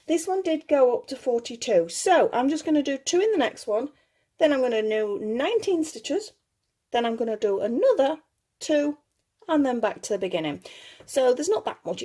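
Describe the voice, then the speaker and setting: Woman with mellow, slightly gruff voice. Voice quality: slightly gruff, lower than her usual voice. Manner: chatty, self-correcting, reassuring toward beginners. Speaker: crochet tutorial host. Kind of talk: casual step-by-step craft instruction.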